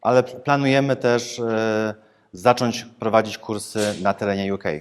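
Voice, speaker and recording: A man with a Polish accent co-presenting, whose first language is Polish, speaking slow, simple English. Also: lowish voice, a little hiss or hum. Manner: slow, plain broken English with short Polish asides.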